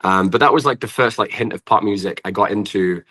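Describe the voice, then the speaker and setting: A young man with a British accent and a low-pitched voice that still sounds bright and forward. He talks casually as an interview guest, wandering through his sentences in an informal way. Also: fairly quick. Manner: rambling, informal, frequent "like". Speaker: guest singer-songwriter. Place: at home in an apartment.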